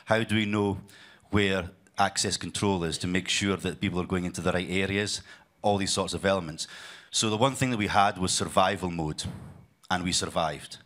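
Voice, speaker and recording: A man from the UK, speaking at a conference in a deep, low voice. His delivery is loose and unscripted, full of fillers, repeated phrases and restarts. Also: fairly bright tone. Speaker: conference speaker, sales leader. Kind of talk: conference presentation.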